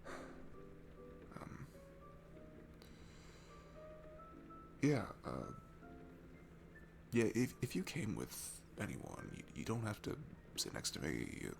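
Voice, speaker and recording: Shy, sweet guy alone at a bar, played by a man for ASMR audio: heavy vocal fry, lively shifting pitch, hesitant, stammering and self-effacing. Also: slow, pauses often, full character voice. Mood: confiding, then nervous, self-deprecating. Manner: hesitant, stammering, self-deprecating, breaking into hushed breathy asides. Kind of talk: intimate ASMR roleplay audio acting. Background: music.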